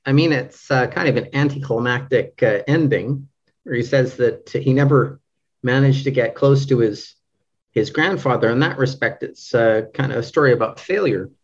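A man speaking as an interview guest, a translator discussing a book casually, in a halting way, rephrasing as he goes. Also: mid-range voice, lo-fi sound.

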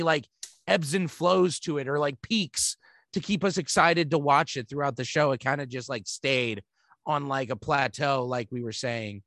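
A man's voice: medium pitch, a bit bright, no room sound. Dry-sounding man thinking aloud. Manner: thinks aloud, searching for words. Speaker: podcast co-host. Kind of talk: casual chat.

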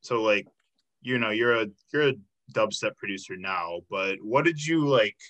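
A young male host from Illinois runs a casual interview and keeps it friendly and chatty, full of "like" and "um". His voice sits a bit low but sounds very bright and forward. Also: normal speed, highs cut off. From Illinois, United States. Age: 20s. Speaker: podcast host.

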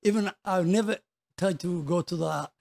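Male interview guest, Somali accent, high voice with gravelly fry, halting second-language English, conversational storyteller. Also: darkish tone. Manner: halting, repetitive non-native English.

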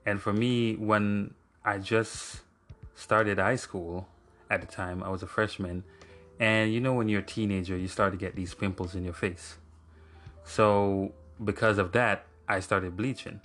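A man's voice: lowish voice, steady tone, unhurried, fairly bright tone. A young man from Jamaica hosting his own podcast, talking casually and rambling with fillers and self-corrections. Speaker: podcast host. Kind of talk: casual solo podcast monologue.

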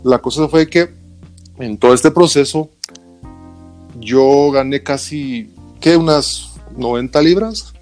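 A Guatemalan man talks as an interview guest in a casual, colloquial storytelling style, dropping in little tag words. His voice is mid-pitched, with faint music playing behind it. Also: takes it slowly, a little mellow. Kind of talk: casual chat, personal anecdotes.

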